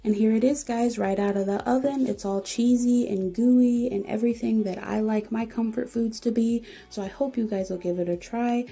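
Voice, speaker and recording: A woman's voice: fairly high pitch, moderate pace, gravelly, few pauses, warm tone, husky, soft background music. A woman hosting a home cooking channel, giving chatty, encouraging step-by-step recipe voice-over.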